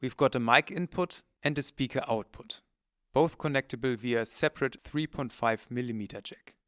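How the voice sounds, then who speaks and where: A man's voice: mid-range voice, moderate pace, a bit bright, dry recording, studio quality. A man presenting technical product training in a methodical, matter-of-fact, instructional way. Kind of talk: instructional presentation, scripted narration.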